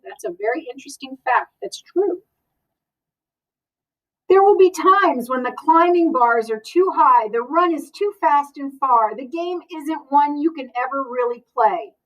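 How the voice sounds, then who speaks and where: A woman's voice: high-pitched, lively intonation, measured pace, fairly bright tone, recorded from a distance, poor audio. A woman reading a picture book aloud as a school librarian, expressively and with asides spoken directly to children.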